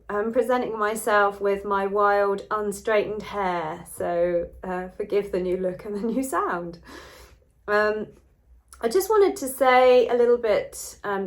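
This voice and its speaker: A woman with a stuffy, nasal voice from a cold, talking earnestly to her channel's viewers as host, with frequent ums and restarts. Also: mid-range voice. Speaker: adoption wellbeing researcher and channel host. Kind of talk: casual talk to camera.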